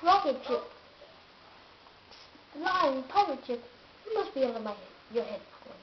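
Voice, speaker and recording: A woman's voice: high voice, animated, unhurried. Woman playing cranky tiny old forest man, blustering and indignant, storybook read-aloud, roomy room, dull sound. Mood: suspicious. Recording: roomy, dull, noisy home recording.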